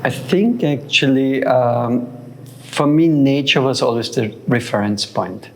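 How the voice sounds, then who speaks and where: Man in his sixties, from Austria, reflective, hesitant, restarts often, mid pitch. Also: a little slow, slight background noise, poor audio. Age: 60s. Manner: thoughtful, hesitant, many fillers and restarts. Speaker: guest, founder of a sound center. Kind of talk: reflective interview talk.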